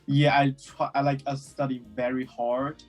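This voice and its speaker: Young Chinese man, chatty and relaxed. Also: mid-range voice, unhurried, faint music behind. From China. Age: 20s. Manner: relaxed, many fillers like "um" and "like". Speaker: guest. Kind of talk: casual interview answers.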